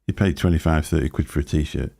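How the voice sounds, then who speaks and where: Deep gravelly man, chatty. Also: fast, mellow. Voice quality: deep booming voice. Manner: rambling, chatty, chuckling to himself. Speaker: live stream host. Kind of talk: casual live stream chat. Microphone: desk microphone through a mixer.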